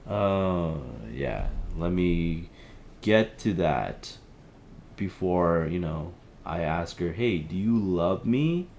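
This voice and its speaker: Man acting rambling, deep-voiced conspiracy-minded husband. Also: expressive, darkish tone, slight background noise, exaggerated voice. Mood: hesitant, wry. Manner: rambling, halting, searching for words. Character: agitated conspiracy-minded husband piecing together a dystopian plot. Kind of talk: audio drama, conversational dialogue.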